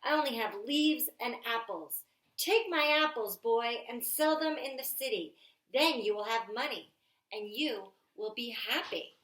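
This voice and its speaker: A woman voicing a loving, generous female apple tree from a children's storybook, gentle and eager to give. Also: on the high side, measured pace. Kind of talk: read-aloud children's storybook. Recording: roomy, echoey home-style recording.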